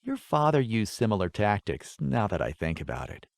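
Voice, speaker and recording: A man voicing a kindly, gently teasing mother with an appreciative manner in a fantasy audiobook. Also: lowish voice, very animated, moderate pace, creaky, no room sound, clean studio sound. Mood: amused, teasing.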